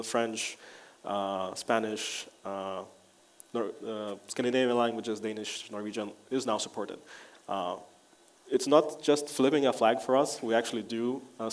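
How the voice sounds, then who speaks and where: Non-native male presenter, Lithuanian first language, lower register, relaxed tech demo delivery full of fillers. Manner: frequent "uh" fillers, restarts, relaxed and wry. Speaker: conference presenter. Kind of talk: technical conference talk with live demo. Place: conference hall.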